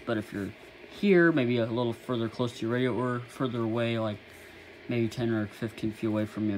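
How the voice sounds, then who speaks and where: A man presenting a casual hands-on hobby video, rambling and thinking aloud as he works, recorded on a phone. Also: medium pitch. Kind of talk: casual hands-on radio demonstration. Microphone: phone camera at varying distance. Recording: noisy phone recording with radio static. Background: shortwave radio noise and static.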